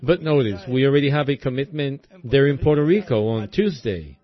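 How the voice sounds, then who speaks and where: A male preacher delivering a sermon in a hoarse voice, speaking in deliberate, halting phrases with many pauses. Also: mid-range voice, animated, highs cut off.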